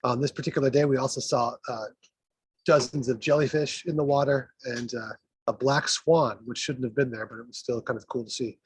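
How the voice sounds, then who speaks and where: A man's voice: mid-range voice, fairly even intonation, brisk, husky, no room sound. A man reading his poetry aloud at an event, with informal asides, as a guest poet.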